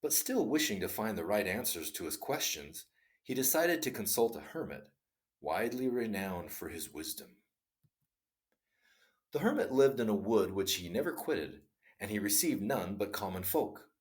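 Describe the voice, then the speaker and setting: A man reads a classic tale aloud with an expressive, dramatic delivery. His voice is on the low side. His pitch rises and falls widely from phrase to phrase. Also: normal speed. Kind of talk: read-aloud story narration.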